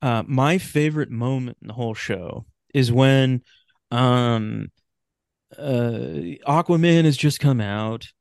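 A man chatting casually as a podcast guest, halting and repeating words as he goes. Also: medium pitch, creaky, clean tone, dry recording, clean studio sound. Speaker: podcast guest, writer of radio drama. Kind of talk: casual chat.

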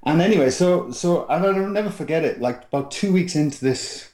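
A man's voice: on the high side, expressive, brisk, darkish tone, reverberant. A middle-aged man from South Wales with a Welsh accent, a podcast guest telling his life story in a rambling, restarting way. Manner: rambling, frequent restarts and fillers. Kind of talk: casual storytelling interview.

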